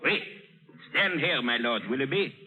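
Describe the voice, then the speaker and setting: A man's voice: mid-range voice, lively intonation, moderate pace, sharp tone, a little hiss or hum. An actor playing a mocking pirate henchman in an old radio drama. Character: mocking French pirate henchman, adult man. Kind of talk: 1930s-40s radio drama acting. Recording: muffled, reverberant old radio broadcast.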